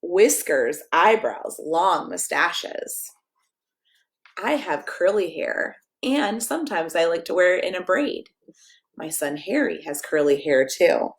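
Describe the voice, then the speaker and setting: An American woman with a lowish voice and a noticeable creaky fry speaks as if to young children at story time. Her delivery is playful and expressive, moving between sing-song read-aloud and casual, direct chat. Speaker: pastor reading a picture book to children.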